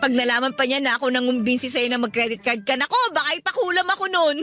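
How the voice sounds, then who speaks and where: A woman playing a lively, persuasive shopaholic friend in a radio drama, animated and coaxing. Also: sits high, fast, soft background music.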